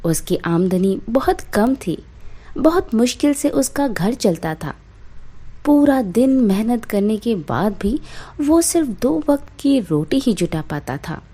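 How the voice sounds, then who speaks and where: A woman's voice: on the high side, sing-song, slow pace, mellow, slightly rough. A woman narrating a scripted moral tale as a storyteller for children.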